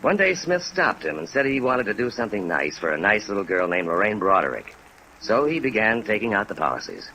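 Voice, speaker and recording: An actor playing an earnest, discouraged American insurance claims man, halting and sincere, in a 1950s radio drama. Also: lowish voice, very animated, pushed and bright, heavily acted, poor audio. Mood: earnest, weary. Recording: vintage radio recording with rain noise.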